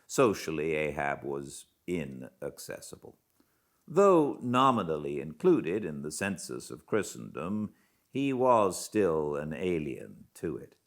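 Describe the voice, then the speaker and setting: A British man reading a classic novel aloud as an audiobook narrator, in a measured, theatrical manner with dramatic pauses. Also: rumbling low, sing-song. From Britain. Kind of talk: expressive literary audiobook narration.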